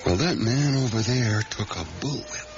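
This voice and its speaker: An actor playing a haunted, obsessive diner worker in a radio drama, with folksy, plain-spoken rural speech and hesitant, repetitive, intense storytelling. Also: male voice, medium pitch, normal speed, faint music behind, lightly acted. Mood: agitated, anguished. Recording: dull, noisy 1980s radio recording.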